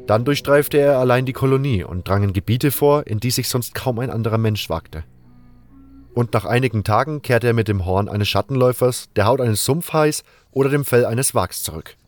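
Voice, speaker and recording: A man's voice: medium pitch, normal speed, soft background music. A man reading scripted first-person narration for a fantasy audio drama.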